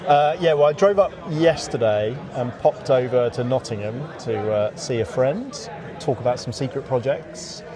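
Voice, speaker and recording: A man with an average-pitched voice gives a relaxed, informal interview as a game designer. He is recorded outdoors in a courtyard, with plenty of people chattering behind him. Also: moderate pace. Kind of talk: casual chat. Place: outdoors in a courtyard at a gaming event. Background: crowd chatter.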